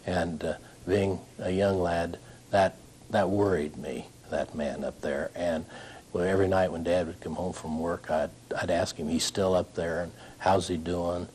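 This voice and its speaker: Low-voiced older man reminiscing. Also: steady tone, unhurried, a little mellow, slight background noise. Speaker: interviewee recalling childhood. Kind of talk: casual reminiscence.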